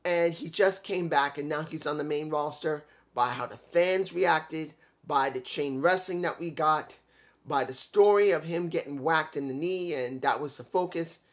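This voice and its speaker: Congested male reviewer with a slightly high voice, blunt and rambling, for a casual solo talk. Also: normal speed. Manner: blunt, opinionated, rambling with restarts. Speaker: wrestling reviewer.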